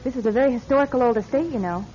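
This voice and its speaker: Radio drama role: earnest, gently insistent woman, played by an actress, high register, dull reverberant recording. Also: very fast, a little hiss or hum, lightly acted. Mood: earnest, polite. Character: earnest, polite, enthusiastic woman, a charity director. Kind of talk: classic radio drama acting.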